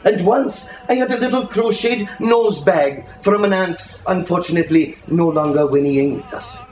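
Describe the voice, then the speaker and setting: A man giving an expressive, theatrical reading of a literary story at a live bookshop event, savouring the words. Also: sits high, normal speed, roomy sound. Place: bookshop, indoors.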